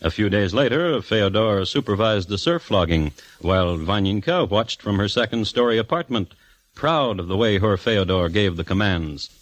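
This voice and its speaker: A man narrating a story in a dry, measured 1950s radio style. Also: on the low side, lively intonation, no room sound. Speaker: narrator and host. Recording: noisy old radio recording with clicks.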